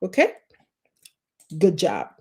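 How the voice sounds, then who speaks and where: A woman's voice: on the low side, huge pitch swings, slow pace, breathy edge. Encouraging woman coach. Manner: encouraging, repeats short questions, reads out viewer comments. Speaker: life coach teaching a live online class. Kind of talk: live-streamed class, talking to viewers in chat.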